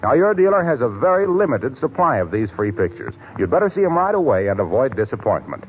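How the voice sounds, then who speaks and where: A man's voice: medium pitch, sing-song, fast, a little hiss or hum. An American man delivering a 1940s radio announcement in a selling style. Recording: narrow-band 1940s radio, music behind.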